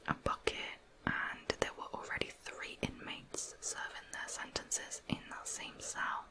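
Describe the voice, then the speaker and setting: A woman whispering a true crime narrative in ASMR style, softly and in an unhurried, explanatory way. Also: low-quality recording.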